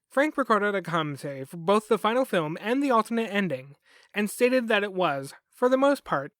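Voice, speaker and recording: A man's voice: high-pitched, a bit bright, no room sound. A man reading scripted documentary narration as a podcast host.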